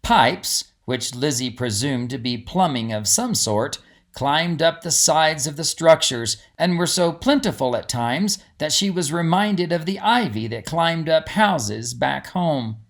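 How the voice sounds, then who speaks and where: A man's voice: a little high, lively intonation, a little slow, a bit bright. A man who is an author reads his storybook aloud as scripted narration.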